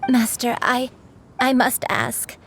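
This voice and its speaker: A woman's voice: a little high, fairly even intonation, slow, fairly bright tone, soft background music. A woman voicing a humble, devoted crane disciple in human form in a video game, earnest, hesitant and deferential. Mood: pained, questioning.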